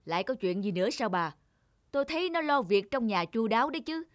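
An actor playing a stern, gullible middle-aged merchant father in a cartoon, with a gruff, authoritative manner. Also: mid-range voice, big pitch swings, a little fast, no room sound, clean studio sound, lightly acted. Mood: puzzled.

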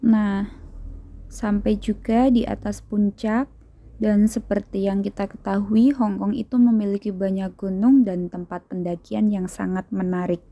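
Slow, mellow woman vlogger. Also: medium pitch, flat delivery. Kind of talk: hiking vlog narration.

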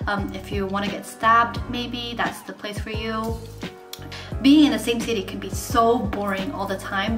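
Chatty young woman vlogging in a roomy bedroom. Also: mid-range voice. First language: not English. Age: 20s. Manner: chatty, frank, many fillers. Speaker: vlogger, university student. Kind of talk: casual talk to camera. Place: bedroom, indoors. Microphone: camera in front at desk distance. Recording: roomy bedroom with music behind.

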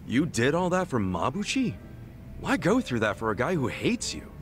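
Streetwise game hero, blunt and earnest, pitch jumps around, faint music behind. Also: male voice, huge pitch swings, moderate pace. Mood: questioning. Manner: blunt, slangy, incredulous questioning. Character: blunt, earnest, streetwise middle-aged male underdog brawler. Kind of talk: video game cutscene dialogue acting.

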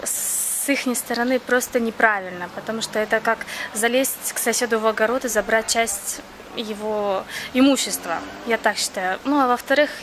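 Young woman answering earnestly, slightly high. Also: a bit bright, a little hiss or hum. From Crimea. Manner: earnest, plain-spoken, with frequent hesitations. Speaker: interviewee. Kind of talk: candid interview answers. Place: outdoors near a café.

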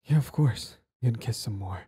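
A man's voice: medium pitch, lively intonation, moderate pace, warm tone, breathy edge. A man playing a lovestruck, clingy young bully in intimate romance roleplay audio, stammering, sighing and pleading. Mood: tender, breathless.

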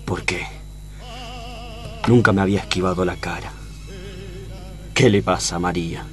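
A man's voice: deep voice, fairly even intonation, a little slow, fairly bright tone. An actor playing a proud, lovesick tough guy in a tango radio play, with an Argentine accent and poetic, dramatic delivery. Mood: pleading. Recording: music behind the voice.

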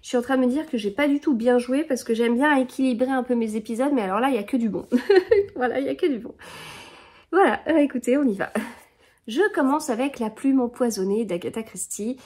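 A woman's voice: a little high, brisk, darkish tone, breathy edge. A woman in her thirties hosting a book podcast, chatting casually and rambling with many fillers and restarts, recorded at home in front of a bookshelf. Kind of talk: casual chat about books. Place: living room in front of a bookshelf.

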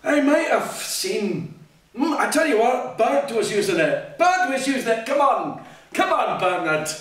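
A man's voice: very high voice, big pitch swings, a little slow, fairly bright tone, voice pushed up, exaggerated voice. A man voicing a friendly, hesitant animal pal in a children's storybook, exclaiming, hemming and repeating phrases. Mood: helpful. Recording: echoey hall, distant mic, faint noise.

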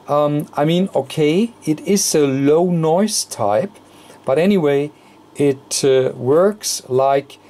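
A man hosting an unscripted technical demonstration at an indoor workbench, speaking haltingly with frequent hesitations mid-phrase. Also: on the high side, warm tone. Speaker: electronics tutorial host.

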